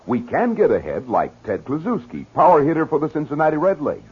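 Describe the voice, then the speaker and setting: Husky upbeat 1950s ad announcer man. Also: fairly high pitch, sing-song, fairly quick. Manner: upbeat, sing-song. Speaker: commercial announcer. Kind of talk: 1950s radio ad reading. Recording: dull lo-fi old radio recording.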